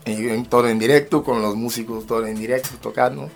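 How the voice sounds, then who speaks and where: Halting man answering as interview guest. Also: mid-range voice, fairly even intonation, vocal fry. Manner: halting, repeats words and phrases. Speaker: musician and rapper, interview guest. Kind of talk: spoken radio interview.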